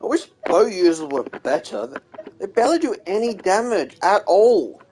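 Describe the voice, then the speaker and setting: A woman's voice: medium pitch, sing-song, moderate pace, mellow, poor audio. A woman streaming a video game, giving casual, offhand commentary as she plays. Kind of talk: casual live gameplay commentary. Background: faint game music.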